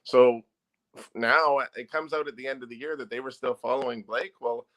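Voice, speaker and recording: Bright, emphatic man giving his take. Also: mid-range voice, steady tone, moderate pace. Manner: firm, emphatic opinions. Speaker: guest, newspaper sports editor. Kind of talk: opinionated sports talk.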